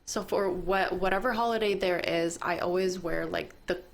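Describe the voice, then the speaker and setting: A woman's voice: fairly low pitch, fairly even intonation, normal speed, vocal fry. A woman co-hosting a talk show in a casual, chatty style. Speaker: co-host. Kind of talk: casual radio chat.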